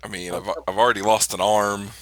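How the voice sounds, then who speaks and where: A man's voice: lowish voice, flat delivery, fairly quick. A man playing a tabletop role-playing game who speaks hesitantly and repeats his words. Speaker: player.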